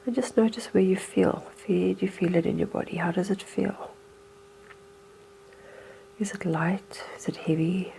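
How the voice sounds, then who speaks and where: A woman leading a slow, hypnotic guided meditation in a soothing, hushed manner with unhurried phrasing. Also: mid-range voice, vocal fry, slight background noise, low-quality recording.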